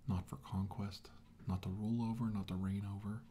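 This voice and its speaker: Man acting middle-aged sci-fi doctor, weary and shaken, dark mellow voice, halting, half-whispered ASMR roleplay, noisy background. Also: on the low side, little pitch movement, close to an everyday voice. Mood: somber, brooding. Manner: halting, brooding, often dropping to a whisper. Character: weary, shaken male doctor recounting a battle with aliens. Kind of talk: slow ASMR roleplay monologue, soft and partly whispered.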